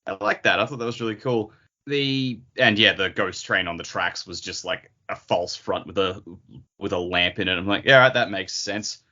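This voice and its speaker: A man's voice: low-pitched, normal speed, pushed and bright. A man co-hosting a podcast, giving casual opinions on a book. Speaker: podcast co-host. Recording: slightly dull, band-limited sound.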